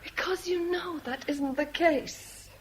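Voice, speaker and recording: An actress playing a fragile, highly strung young woman in a radio drama, speaking in a halting, plaintive way. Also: a little hiss or hum. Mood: pleading.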